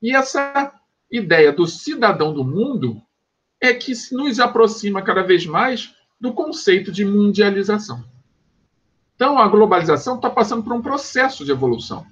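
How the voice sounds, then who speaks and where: A Brazilian man teaching a sociology class in an online lecture, explaining step by step and pausing to check that students follow, in a dull lo-fi home recording. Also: high-pitched, very animated, fairly bright tone, breathy edge. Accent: Brazilian Portuguese. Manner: explanatory, checks understanding with quick asides.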